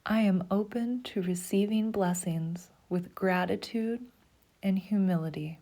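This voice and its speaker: A woman leading a guided meditation in a soft, slow, soothing voice, often dropping to a breathy half-whisper. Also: mid-range voice. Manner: slow, soothing, even pacing.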